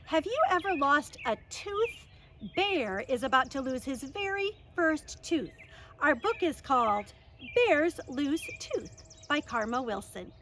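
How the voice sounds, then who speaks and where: A woman reading a picture book aloud for a children's story hour, expressive, with drawn-out emphasis on key words. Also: high voice, noisy background. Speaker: library story hour reader.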